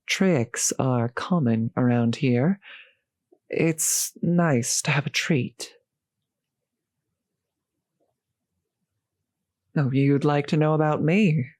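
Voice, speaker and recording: A woman playing a courteous, gentle centuries-old vampire host in a roleplay audio drama, speaking politely and slightly formally to the listener, with soft hesitations. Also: deep voice, slow pace, dry recording, clean studio sound. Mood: curious, warm. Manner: polite, softly hesitant, old-fashioned genteel phrasing.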